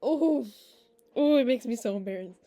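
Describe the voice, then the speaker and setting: A woman's voice: fairly high pitch, lively intonation, moderate pace, dark tone, faint music behind. A nineteen-year-old woman reading a visual novel aloud, breaking off into chatty, laughing tangents. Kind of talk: game playthrough with casual asides.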